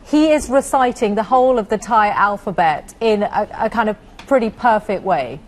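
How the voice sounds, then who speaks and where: A woman's voice: medium pitch, normal speed. Polished female news presenter. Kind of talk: broadcast news interview.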